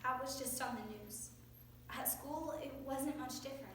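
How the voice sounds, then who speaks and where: A woman's voice: high voice, moderate pace, close to an everyday voice. A young woman playing a troubled, isolated teenage daughter of a drunk driver in a readers theater monologue. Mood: wounded, wry. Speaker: student readers theater performer. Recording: echoey room from far off, faint noise.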